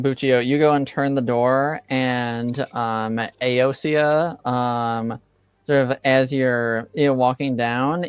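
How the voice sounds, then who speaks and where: A man running a tabletop role-playing game, talking in a halting way with lots of fillers. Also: medium pitch, clean tone, dry recording. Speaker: game master.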